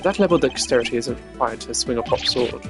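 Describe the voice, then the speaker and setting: A man voicing a composed, formal male prosecutor in a game, speaking in measured, deliberate deductions. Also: mid-range voice, close to an everyday voice. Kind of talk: fan voice acting of a game. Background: game music.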